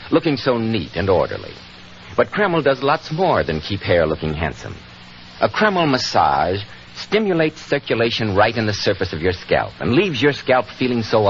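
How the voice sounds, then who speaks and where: An American man announces like a 1940s radio pitchman. His low voice moves in lively, emphatic swings with polished phrasing. The old recording is muffled and slightly noisy.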